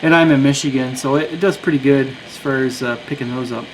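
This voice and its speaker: A man's voice: mid-range voice, steady tone, fast talker, slight background noise, poor audio. A man giving a casual, unscripted gadget review, rambling a little and thinking aloud as he goes.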